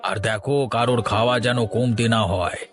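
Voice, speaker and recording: A man voicing a generous, middle-aged village gentleman in a cartoon, with a hospitable, reassuring manner. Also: medium pitch, fairly even intonation, brisk, over music, lightly acted. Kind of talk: Bengali cartoon dialogue.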